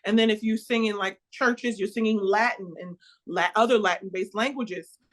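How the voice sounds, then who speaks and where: An American woman opera singer being interviewed, talking about her work in an earnest, warm and emphatic way. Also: medium pitch, fairly even intonation, moderate pace. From United States.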